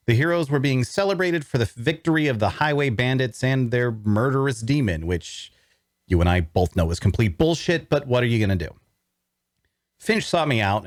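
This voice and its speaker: A man's voice: mid-range voice, no echo, clean studio sound, natural voice. An actor playing a sardonic, haunted necromancer outcast who narrates his own tale in a wry, theatrical way for an audio drama. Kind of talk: first-person dramatic narration, scripted.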